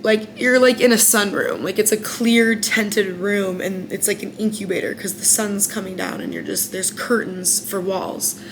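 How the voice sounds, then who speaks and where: A young woman with an American accent talks straight to the camera, chatty and frank, with lots of "like" fillers. Her voice is mid-pitched and carries a faint hiss behind it. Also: fairly even intonation, moderate pace, few pauses, poor audio. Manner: candid and chatty, many "like" fillers, frank opinions. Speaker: former singing contestant recounting her experience. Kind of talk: casual storytelling vlog.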